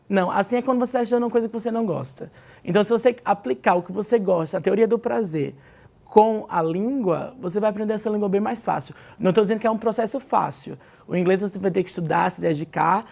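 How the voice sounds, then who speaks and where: Brief: brazilian woman teacher, gravelly and mellow, explains with lots of "né?". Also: mid-range voice. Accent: Brazilian Portuguese. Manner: explanatory, lots of "né?" tag questions. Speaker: English teacher, studio guest. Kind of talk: TV studio interview, advice.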